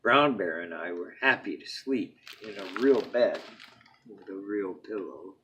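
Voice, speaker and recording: A man reading a children's storybook aloud as a bedtime story, with dramatic phrasing that opens emphatically and falls to a hush at phrase ends. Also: low-pitched, lively intonation, unhurried, a little hiss or hum, low-quality recording.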